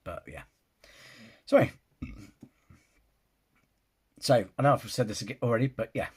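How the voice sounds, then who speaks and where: A man with a husky, breathy voice talks through his opinions in a relaxed, casual vlog. He rambles, circles back on his points and keeps checking in with "you know", always at a middle pitch. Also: unhurried. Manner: rambling, restarts sentences, often says "you know". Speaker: film reaction vlogger.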